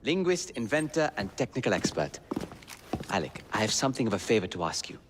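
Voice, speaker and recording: An actor playing a courteous, well-spoken Victorian gentleman with a formal manner, in a video game. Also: on the low side, very animated, fairly quick. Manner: formal, polite. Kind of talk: game cutscene acting. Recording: long hall-like reverb, faint noise.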